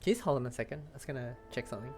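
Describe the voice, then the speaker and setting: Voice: medium pitch, animated, very fast. A streamer giving brief, casual, amused reactions while playing a game. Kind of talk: casual gameplay reaction commentary. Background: game music.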